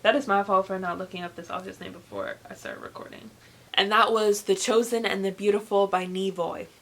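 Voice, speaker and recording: A young woman speaks in a chatty, informal way, as a book vlogger would. Her pitch is average for a woman, and she leans on words for emphasis as she gives her opinions. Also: steady tone, brisk, fairly bright tone. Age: young adult. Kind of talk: casual book review chat to camera.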